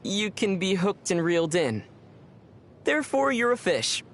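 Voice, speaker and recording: A calm, wry young adventurer. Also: male voice, high-pitched, unhurried, full character voice. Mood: teasing. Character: young male traveler hero, calm, dry-witted, gently teasing. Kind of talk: video game character acting.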